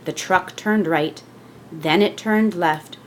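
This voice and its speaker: A woman reading a children's picture book aloud as scripted narration, with expressive storytelling delivery. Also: fairly low pitch, takes it slowly, a little hiss or hum.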